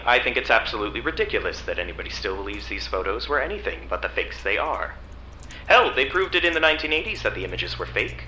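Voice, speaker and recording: Scornful, sharp-voiced skeptic. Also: male voice, medium pitch, slight background noise, low-quality recording. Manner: dismissive, emphatic. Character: scornful, exasperated male skeptic debunking UFOs.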